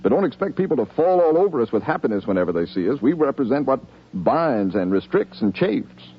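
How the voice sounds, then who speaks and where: An actor playing a stern, world-wise American police chief in a 1950s radio drama, commanding and plain-spoken. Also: male voice, medium pitch, sing-song, fairly quick, breathy edge. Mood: grave, instructive. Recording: noisy, muffled 1950s radio recording.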